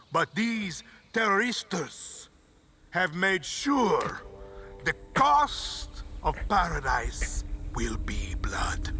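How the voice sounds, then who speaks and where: Middle-aged game dictator: menacing, grandiose, Latin American Spanish accent, wide swings from whisper to proclamation, gravelly. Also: male voice, a little high, sharp tone, a little hiss or hum, heavily acted. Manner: slow dramatic oratory dropping to whispers.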